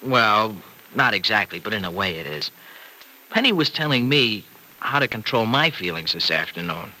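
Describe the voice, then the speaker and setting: An actor playing a chatty, teasing young farmer in a 1940s-style radio soap opera, on a noisy old recording. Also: male voice, on the low side, very animated, moderate pace, sharp tone, heavily acted. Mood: confiding.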